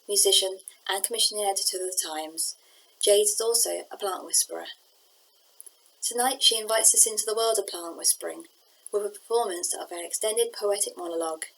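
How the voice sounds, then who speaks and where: A woman's voice: mid-range voice, creaky, husky, rough recording. A woman hosting a festival, giving a formal scripted introduction to a performance. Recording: faint background noise and ambience.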